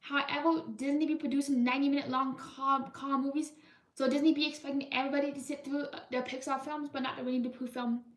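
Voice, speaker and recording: A young woman hosting a live art stream, chatting casually and rambling with many restarts in a high-pitched voice. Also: flat delivery, fast. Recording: roomy echo, mic far off.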